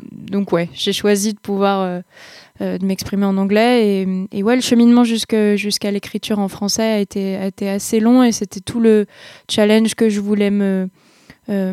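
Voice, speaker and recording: A young woman talks through her musical path in a hesitant, searching way, full of pauses and restarts. Her voice sits at an average pitch. The sound is dry and close, with no room around it. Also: warm tone, no room sound. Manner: hesitant, many fillers and restarts. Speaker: singer-songwriter guest. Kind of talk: casual interview answers.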